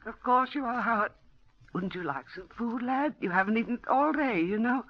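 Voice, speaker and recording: A woman's voice: a little high, expressive, normal speed, close to an everyday voice. An actress playing a frightened older widow, pleading and trying to placate her captor in a 1940s radio drama. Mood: nervous, placating. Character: frightened old widow held captive at home. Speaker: heroine and narrator. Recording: dull 1940s radio broadcast.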